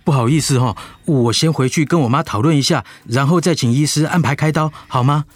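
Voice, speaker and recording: An actor playing the polite, anxious adult son of an elderly patient in a radio drama. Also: male voice, fairly high pitch, a little fast, no echo, lightly acted. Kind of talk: Mandarin radio drama acting.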